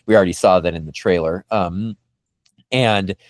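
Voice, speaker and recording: A man hosting a fan discussion podcast, talking in an animated, emphatic way. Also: low voice, moderate pace, no echo. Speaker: podcast host.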